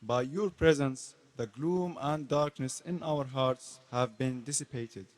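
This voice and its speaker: A devout demigod, played by a man in a scripture drama, offering grateful prayer in a medium-pitched voice. The pace is slow and solemn, with deliberate pauses between phrases. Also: natural voice. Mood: devout gratitude. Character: devout male demigod praying in grateful awe.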